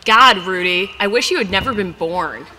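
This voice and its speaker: Sarcastic, crude drunk dad with a bright, pointed tone and a deadpan delivery, voiced by a young woman. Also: medium pitch, normal speed, a little hiss or hum. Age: young adult. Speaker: puppeteer. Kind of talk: live puppet show comedy. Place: gallery, live performance.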